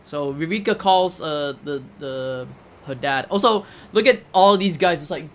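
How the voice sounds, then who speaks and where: A man's voice: fairly high pitch, animated, a little slow, a bit bright, slight background noise. A man giving casual, slightly hesitant live commentary as he plays through a video game speedrun. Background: video game music.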